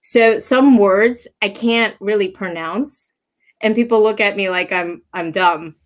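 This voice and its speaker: A woman's voice: mid-range voice, a little slow. A woman from New Jersey whose first language is Japanese, a realtor being interviewed, giggly and a little coy as she answers. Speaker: guest realtor. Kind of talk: casual interview chat.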